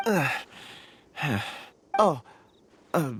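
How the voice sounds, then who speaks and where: A woman playing a reserved, hesitant young person in a video game, with a husky voice and halting delivery. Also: low voice, lively intonation, very noisy, exaggerated voice. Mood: hesitant, uneasy.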